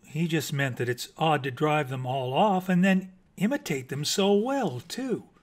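A man's voice: high voice, expressive, measured pace, pitched above the performer's own voice. Man playing an earnest, uneasy little chipmunk. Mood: helpful, uneasy. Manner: earnest, helpful, slightly anxious. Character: frank, well-meaning, uneasy chipmunk friend.

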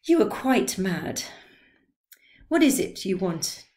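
Woman voicing a skeptical young painter, blunt, flat, deliberate, read-aloud story. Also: mid-range voice. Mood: dismissive.

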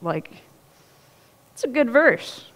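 A woman's voice: a little high, slow pace. Woman, pastor, sermon, chatty storytelling, church stage, headset mic. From Ohio. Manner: chatty, animated storytelling with laughs and asides.

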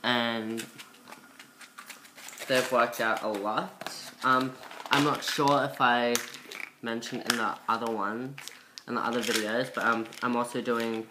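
A young woman with a low, masculine-sounding voice and a slight cold, chatting casually to camera in a rambling, self-conscious way. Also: fairly even intonation, slow, long pauses, noisy background. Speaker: vlogger. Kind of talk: casual chat to camera, transition update. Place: indoor room by a window. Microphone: built-in device mic at arm's length.

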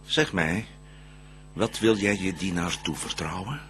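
An actor playing a calculating demon counsellor, speaking measured, sinister verse in a radio drama. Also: male voice, low-pitched, fairly bright tone. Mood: sly, commanding. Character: cunning male demon counsellor, spirit of darkness, calculating. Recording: noisy radio play recording with hum.

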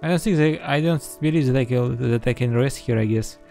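A man giving in-character gameplay commentary, halting and musing to himself. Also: mid-range voice, vocal fry, warm tone. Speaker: gamer doing a roleplay playthrough. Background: game music.

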